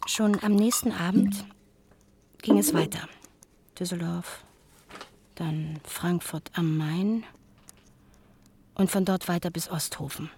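A woman playing an earnest mother reading letters to her son in an intimate, measured German radio play monologue, at times close to a whisper. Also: fairly low pitch, background noise. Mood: quietly hopeful.

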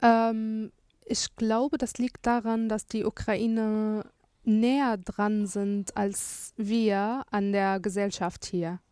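Syrian woman with smooth, clear voice. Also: medium pitch, level tone, takes it slowly, darkish tone, no echo, studio quality. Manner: thoughtful, searches for words with frequent hesitations. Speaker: guest, TV journalist. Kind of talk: radio interview.

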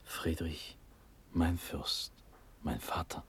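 Breathy, dreaming young prince. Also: male voice, sits low, extremely slow, a bit bright, whispered, full character voice. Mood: entranced, tender. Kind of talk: classical radio drama acting.

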